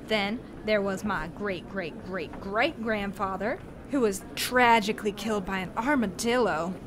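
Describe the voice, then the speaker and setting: A woman's voice: mid-range voice, a little slow, noisy background, close to an everyday voice. A woman playing a genial, long-winded, folksy male cowboy posse leader in a western audio drama. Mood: nostalgic, rambling. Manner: rambling, folksy, theatrical storytelling.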